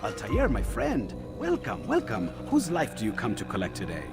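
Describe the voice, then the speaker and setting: Game character: playful, teasing keeper, theatrical, extremely animated pitch, music loud behind. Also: male voice, moderate pace, gravelly. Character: playful, wry bureau keeper with gleeful teasing flattery. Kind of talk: video game character dialogue.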